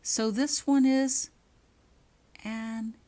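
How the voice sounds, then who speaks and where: A woman voicing a coldly controlled, menacing male headmaster in a story, speaking softly and slowly, near a whisper, with weighted pauses. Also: fairly high pitch, fairly even intonation, pitched above the performer's own voice. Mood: cold rebuke. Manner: slow, deliberate, with weighted pauses.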